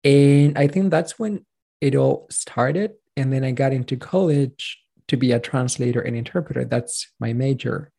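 A man's voice: mid-range voice, normal speed, vocal fry, warm tone, husky. A Chilean man in his thirties, a native Spanish speaker, telling his story as a pronunciation coach in a casual interview, earnest and rambling with restarts.

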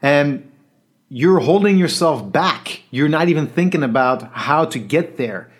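A man who works as a coach, telling a personal story in an earnest, reflective monologue that sometimes drops quiet. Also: a little high, expressive, measured pace, slightly rough. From Belgium.

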